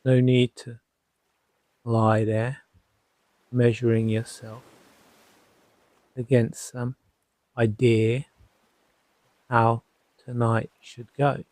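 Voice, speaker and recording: A man guiding a sleep hypnosis session as a hypnotherapist, soothing and gently reassuring, leaving long pauses between short phrases. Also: medium pitch, level tone, dry recording. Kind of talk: guided sleep hypnosis narration.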